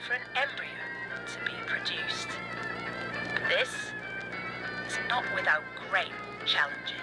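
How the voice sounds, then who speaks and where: A formal narrator played by a performer delivers measured, scripted lines in the manner of a news bulletin or training tape from a horror series. The voice is mid-pitched, with music clearly audible underneath. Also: wildly swooping pitch, poor audio. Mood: instructional.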